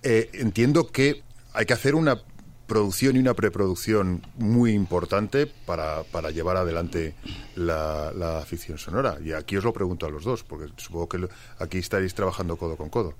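Low-voiced male interviewer, hesitant phrasing. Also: normal speed. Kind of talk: conversational interview questions.

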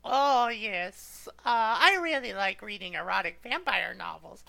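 A woman's voice: mid-range voice, animated, a little slow, creaky, pushed and bright, rough recording. A woman in a casual group chat who reads aloud cheekily, swears and laughs mid-sentence. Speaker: co-host reading aloud.

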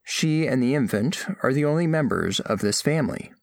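A man's voice: mid-range voice, animated, normal speed, vocal fry, no room sound. A man playing a studious alien scholar who narrates a diplomatic report with formal, precise diction in an audio drama. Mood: formal, measured. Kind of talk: scripted first-person narration, audio fiction.

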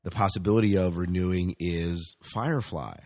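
Male podcast co-host, low register, bantering and excitable, casual delivery, close dry sound. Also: moderate pace, dry recording.

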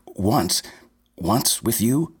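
A man's voice: fairly low pitch, moderate pace, slightly rough. A man voicing a pompous middle-aged marine biologist who lectures a crowd. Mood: condescending.

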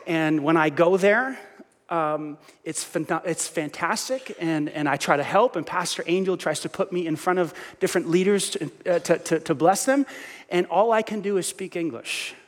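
A middle-aged man preaching a sermon as pastor, lively and self-mocking, often restarting his sentences. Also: on the high side, normal speed, long reverb tail.

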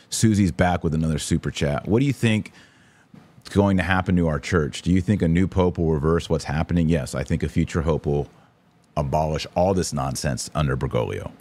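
A man's voice: rumbling low, darkish tone. A man hosting a live podcast, giving opinionated commentary and answering listeners in an emphatic, preacherly, indignant way. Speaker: podcast host.

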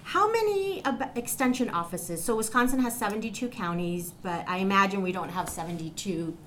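A woman's voice: medium pitch, lively intonation, brisk, fairly bright tone, slight background noise. Woman hosting a radio podcast. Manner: friendly, asks questions, laughs lightly. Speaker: podcast host and interviewer.

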